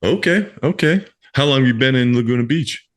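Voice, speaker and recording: A man's voice: mid-range voice, expressive, brisk. A man hosting a podcast in an effusive, encouraging way, moving between scripted introduction and casual chat and addressing listeners directly. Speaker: podcast host.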